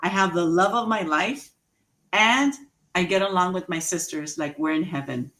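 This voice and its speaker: A woman in her forties giving an inspirational talk, slow and emphatic, repeating key phrases and laughing at her own jokes. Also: on the low side, fairly bright tone. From Mexican heritage, raised in the US. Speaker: motivational speaker. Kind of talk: inspirational speech.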